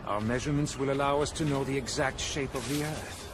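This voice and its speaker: A male actor plays a learned, confident expedition scientist in a period video game. His voice is mid-pitched, with clear outdoor noise around it. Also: fairly quick, natural voice. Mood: assured. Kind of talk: dramatic video game acting.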